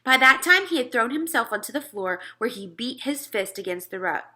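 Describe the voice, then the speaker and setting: A woman reads a children's story aloud as narrator. Her voice is bright, with lively, wide-ranging intonation and an expressive delivery. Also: a little high, fairly quick.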